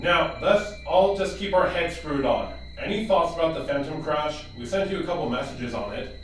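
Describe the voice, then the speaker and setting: A man's voice: a little high, expressive, normal speed, faint music behind, lightly acted. A man playing a formal, level-headed government official who passes on messages and reproves, in a radio drama. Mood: reproving, calming. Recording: distant, very echoey, faint noise.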